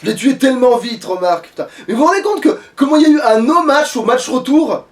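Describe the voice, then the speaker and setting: High-voiced man gaming, off the mic. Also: expressive, quick pace, recorded from a distance. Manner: sweary, slangy. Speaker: let's player playing on camera. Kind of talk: casual gaming commentary.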